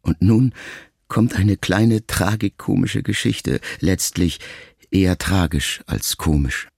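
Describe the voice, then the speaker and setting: An actor playing a middle-aged writer son who addresses his mother in a measured, introspective, quietly reproachful radio monologue. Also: deep voice, gravelly, husky, full character voice. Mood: wry, rueful. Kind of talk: literary monologue in a radio play.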